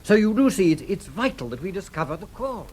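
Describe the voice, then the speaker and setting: An actor playing an earnest, worried insurance man with a British accent and a husky voice in a radio drama. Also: high voice, big pitch swings, brisk, darkish tone, heavily acted. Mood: earnest, concerned.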